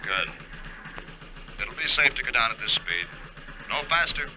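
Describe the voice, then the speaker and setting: An actor playing a steady, focused deep-sea diver, reporting in clipped, halting phrases in 1950s radio drama style. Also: male voice, soft background music. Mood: businesslike. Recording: noisy vintage radio recording, diving ambience.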